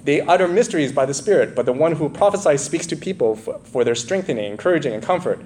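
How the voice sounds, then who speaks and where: A man's voice: on the high side, animated, quick pace, darkish tone, a little hiss or hum. A man preaching an expository sermon in an emphatic teaching manner, often checking in with questions to his listeners. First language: English. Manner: asks the congregation questions, frequent check-in tags like "right?" and "okay?".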